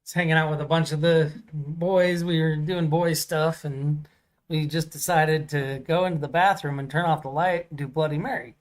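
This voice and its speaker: Co-host, man, loud carrying voice, rambling storyteller, slightly high. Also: fairly even intonation, normal speed. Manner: loud voice that carries; rambling, many fillers and restarts. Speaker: podcast co-host. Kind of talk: casual storytelling chat.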